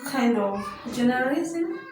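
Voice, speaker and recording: A woman hosts a casual interview, steering it with short, teasing questions. Her voice is a little high. The sound is heavily echoey, with faint noise under it. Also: animated, slow, far from the mic. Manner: brief, teasing prompts. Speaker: host. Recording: heavy echo with faint noise.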